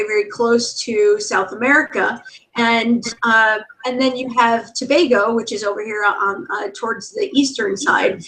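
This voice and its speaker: A woman with a somewhat high voice gives an informal slideshow talk about her tour company. She hesitates often, filling pauses with ums and restarting her sentences, and she laughs easily. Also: fairly bright tone, poor audio. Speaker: tour company founder presenting.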